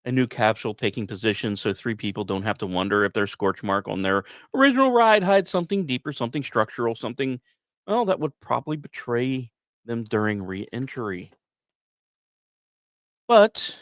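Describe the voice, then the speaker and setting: A man hosting a podcast, delivering scripted storytelling narration with dramatic emphasis and deliberate pauses. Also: medium pitch, sing-song, fairly bright tone, no echo, studio quality. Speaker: podcast host.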